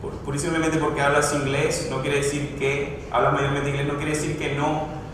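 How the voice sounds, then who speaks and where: A man's voice: fairly high pitch, flat delivery, moderate pace. A man giving a conference presentation, explaining at length with frequent hesitations and restarts. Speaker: conference speaker. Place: large conference room. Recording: echoey hall, distant mic, background noise.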